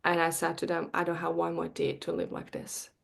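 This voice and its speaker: A woman in her forties from Bosnia, speaking as a podcast guest, earnest and heartfelt with a slightly halting delivery. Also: on the low side, completely flat delivery, vocal fry. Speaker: guest, marketing coach and energy healer. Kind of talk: podcast interview.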